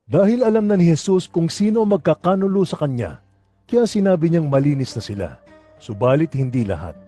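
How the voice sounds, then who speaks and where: A man's voice: a little high, big pitch swings, fairly quick, dark tone, soft background music. A man narrating scripture for an audio drama in a scripted storytelling style.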